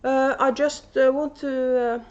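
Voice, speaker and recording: A woman's voice: high voice, little pitch movement, unhurried, darkish tone, lo-fi sound. A woman with a Norwegian accent chats casually and unscripted as host of a knitting video podcast at home, with many fillers and restarts. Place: at home, indoors. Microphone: camera microphone.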